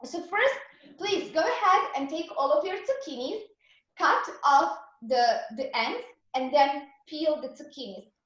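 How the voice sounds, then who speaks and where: A woman's voice: high-pitched, lively intonation, a little slow, fairly bright tone, very echoey, lo-fi sound. A woman from Serbia with a Serbian accent, a raw vegan chef guiding a cooking class in an earnest, encouraging way. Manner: earnest, encouraging, gives step-by-step instructions.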